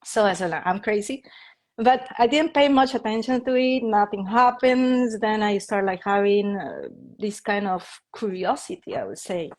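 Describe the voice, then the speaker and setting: A woman in her fifties speaks English with a Colombian Spanish accent. She rambles easily through her story, leaning on "like" and "you know" as she goes. Her voice sits at an ordinary middle pitch. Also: animated, normal speed, a little mellow. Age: 50s. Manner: rambling, with frequent "like" fillers and light laughs. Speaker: interview guest, feng shui and Reiki practitioner. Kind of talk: casual interview storytelling.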